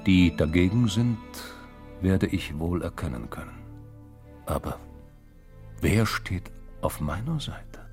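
A man narrating literary fiction as an audiobook reader, deliberate and dramatic in his storytelling. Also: low-pitched, animated, a little mellow, soft background music. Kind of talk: literary narration with acted dialogue.

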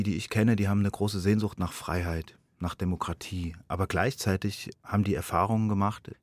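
A man from Germany speaks in a low register with a dry, close sound. He is a playwright being interviewed, and he talks in an earnest, reflective way, often questioning himself. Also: darkish tone, no room sound. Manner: thoughtful, earnest, self-questioning.

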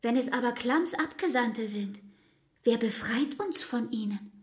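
An actress playing a troubled, devoted young lover in a literary radio drama, speaking intensely in short, halting phrases. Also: female voice, a little high, animated.

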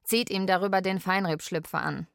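A woman playing a carer who narrates a daily routine in a radio drama, measured and matter-of-fact. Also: medium pitch, steady tone, creaky, fairly bright tone, no echo, clean studio sound.

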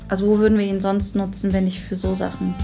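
A woman with a mid-pitched voice, chatting informally as a co-host. She thinks aloud in an unscripted creative discussion, with faint music at times. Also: little pitch movement, quick pace, vocal fry. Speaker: podcast co-host and radio play writer.

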